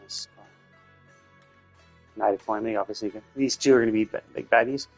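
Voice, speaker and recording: A man's voice: on the low side, fairly even intonation, quick pace, faint music behind. A man giving casual livestream commentary on games, explaining in a rambling way and often rephrasing himself. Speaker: video game livestreamer.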